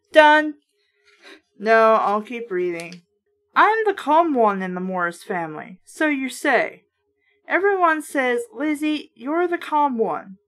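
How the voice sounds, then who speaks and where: Female host, higher-pitched voice, informal reader-commentator with sarcastic asides. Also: a bit bright. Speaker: host of a book review series. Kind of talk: casual read-aloud with running commentary.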